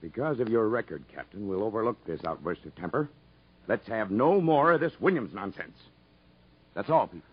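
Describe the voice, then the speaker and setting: A man acting a stern, officious, dismissive prison commissioner in a 1950s radio drama, with a husky voice. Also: fairly low pitch, expressive, normal speed. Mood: scornful, stern. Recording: muffled 1950s radio broadcast.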